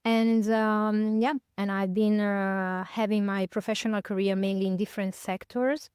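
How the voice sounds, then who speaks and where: A woman in her fifties from northern Italy, speaking English with an Italian accent. Her voice is smooth and resonant, and the recording is close and dry. She talks in a thoughtful, searching way, full of fillers and self-corrections. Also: mid-range voice, fairly even intonation, normal speed, a little mellow, no room sound. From northern Italy, near Milan. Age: 50s. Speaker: podcast guest. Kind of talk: reflective conversational interview.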